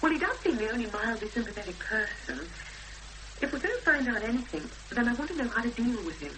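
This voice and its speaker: A woman playing an anxious but determined young woman in a 1970s radio drama, speaking hesitantly with thoughtful pauses. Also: fairly high pitch, very animated. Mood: uneasy, insistent. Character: anxious, determined young woman seeking her missing sister. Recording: noisy, hissy 1970s radio broadcast recording.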